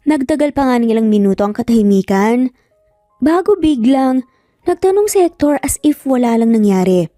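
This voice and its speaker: A woman's voice: a little high, animated, normal speed, warm tone, no room sound, studio quality, faint music behind. A woman with a Filipino accent narrating a scripted story in a dramatic storytelling style.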